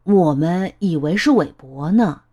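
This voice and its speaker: A woman voicing a friendly, patient, playful storybook elephant with an expressive, teasing manner. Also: lowish voice, measured pace, pitched below the performer's own voice. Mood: apologetic, helpful.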